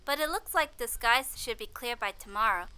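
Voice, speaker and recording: Bright, high-voiced woman as a cheerful field reporter. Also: expressive, normal speed, clear, resonant tone. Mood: cheerful, composed. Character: cheerful female field reporter on an alien planet. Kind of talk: science fiction audio drama news report.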